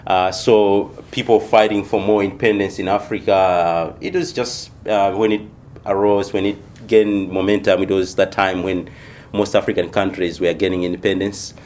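A man from Malawi, a missionary church planter, answering interview questions in a thoughtful, unhurried way with many pauses. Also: deep voice, little pitch movement, a little mellow, slight background noise.

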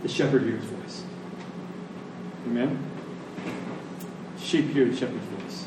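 A man preaching a sermon to a congregation, phrasing deliberately and emphatically and repeating lines for effect. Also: medium pitch. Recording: echoey room, distant mic, faint noise.

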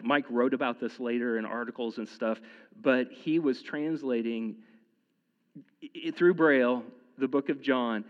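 A man's voice: medium pitch, in a large hall, muffled recording. A man in his thirties preaching a sermon in a casual, conversational way, often restarting his sentences and using fillers. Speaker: pastor.

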